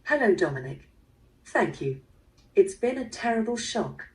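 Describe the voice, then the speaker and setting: An actress playing an impatient, haughty daughter of a wealthy family in a mystery audio drama, proper and disapproving. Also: female voice, mid-range voice, big pitch swings, unhurried. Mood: subdued. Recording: distant, played through a small speaker.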